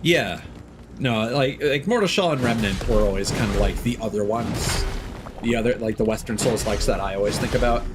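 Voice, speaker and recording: A man giving casual live commentary on a video game, musing and thinking aloud in a halting way. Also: medium pitch, over music. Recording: clearly noisy, game sound underneath. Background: video game ambience.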